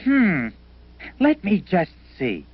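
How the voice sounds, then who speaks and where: An earnest cartoon banker, musing aloud in a businesslike way. His voice sits fairly high and swings up and down with lively inflection. It has the dull sound of an old educational film soundtrack. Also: slow, husky, no echo, full character voice. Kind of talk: 1970s educational cartoon acting.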